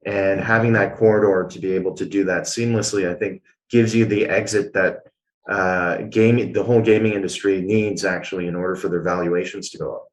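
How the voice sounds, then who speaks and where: A man's voice: deep voice, fairly even intonation, a little fast, rough recording. A man from Canada, a guest answering interview questions in an explanatory way, with many filler sounds. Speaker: guest, blockchain executive.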